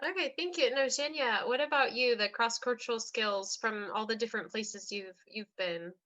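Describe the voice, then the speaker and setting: A woman hosts a panel of students with a crisp, bright tone and a voice pitched slightly high. She keeps it encouraging and steady, asking each guest in turn. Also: moderate pace. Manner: encouraging, asks questions. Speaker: host. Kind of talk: panel interview.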